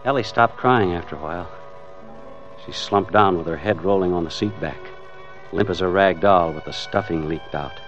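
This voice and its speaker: Low-voiced, weary radio-thriller narrator. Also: male voice, moderate pace, fairly bright tone. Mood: grim, brooding. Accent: American. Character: hard-boiled weary family man held hostage, narrating his ordeal. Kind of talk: old-time American radio drama narration. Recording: dull old radio recording with music.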